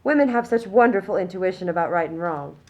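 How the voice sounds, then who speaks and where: A woman's voice: on the low side, lively intonation, fast talker, dull audio, close to an everyday voice. A woman playing a smug, genteel middle-aged upper-class mother in a radio play, speaking in a prim, condescending way. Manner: prim, condescending, self-satisfied. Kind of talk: scripted radio play acting.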